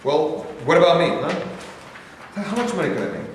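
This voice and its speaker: An actor voices a restless young working man who is defensive and quick to anger. His voice sits slightly high. It comes from across a bare, echoey room with a long reverb tail. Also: big pitch swings, distant mic, slight background noise. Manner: defensive, bursts into shouting. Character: young working man, frustrated and hot-tempered. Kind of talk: staged play reading. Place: large bare rehearsal studio.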